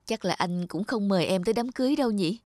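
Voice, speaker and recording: A woman's voice: medium pitch, lively intonation, fast, darkish tone, no echo, clean studio sound, natural voice. A woman voicing a proud, manipulative young ex-girlfriend in a cartoon drama, dramatic and reproachful. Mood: bitter.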